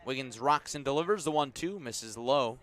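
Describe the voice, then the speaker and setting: A man's voice: medium pitch, moderate pace, a bit bright. Man calling baseball play-by-play on radio. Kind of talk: live radio baseball commentary.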